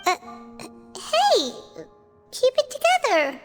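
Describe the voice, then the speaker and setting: Tiny, very squeaky fairy sidekick. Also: female voice, huge pitch swings, takes it slowly, long reverb tail, over loud music, exaggerated voice. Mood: alarmed. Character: small, cheeky, squeaky child-like fairy companion. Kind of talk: video game voice acting.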